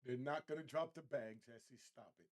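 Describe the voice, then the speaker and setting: A man's voice: medium pitch, moderate pace. A man giving casual, off-the-cuff commentary in slangy, streetwise language, breaking things down in plain terms. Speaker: reaction commentator.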